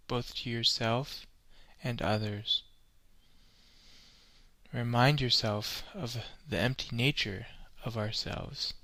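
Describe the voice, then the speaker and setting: A man leading a scripted guided meditation in a measured, solemn, instructional manner. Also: medium pitch, pushed and bright, no room sound.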